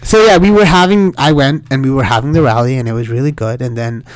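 Young man from Sri Lanka, animated rambling storyteller, mid-pitched, dry close sound. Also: quick pace, no echo, rough recording. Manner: animated, rambling with many fillers and restarts. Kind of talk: casual storytelling.

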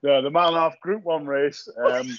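A man's voice: on the high side, a bit bright, muffled recording, slight background noise. A man hosting a horse racing podcast, chatting casually with lots of fillers and repeated words. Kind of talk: casual tipping chat.

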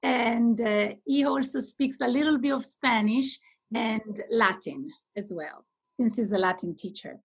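A woman's voice: on the high side, measured pace, vocal fry, slightly rough, lo-fi sound. A woman with an Italian accent, a guest on an interview podcast, answering questions with frequent fillers and restarts. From Italy. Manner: hesitant, many fillers and restarts.

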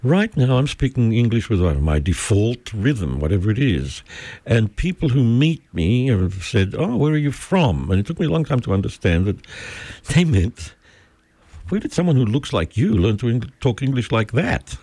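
An older man from Mexico with a Mexican accent, speaking English as a second language, talking thoughtfully in an interview and often pausing to search for words. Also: mid-range voice, sing-song, mellow, husky, no room sound. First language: Spanish. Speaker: guest. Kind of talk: conversational interview.

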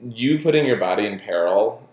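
A man co-hosting a casual podcast about movie awards, talking in an opinionated, animated way with frequent fillers. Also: fairly low pitch, moderate pace, echoey room, low-quality recording. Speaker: podcast co-host. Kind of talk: casual film chat.

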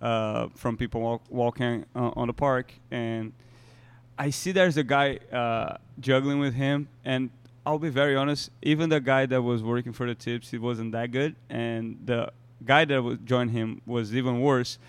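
A man from Brazil, co-hosting a podcast and telling a lively story in casual chat. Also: mid-range voice, normal speed, clear, resonant tone, dry recording. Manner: animated storyteller, many "you know" fillers. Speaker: podcast co-host, soccer player.

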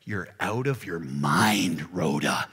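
Preacher acting out dismissive church members, scoffing, high voice, long echoing reverb. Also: male voice, moderate pace, sharp tone, heavily acted. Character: doubting church crowd, dismissive adults.

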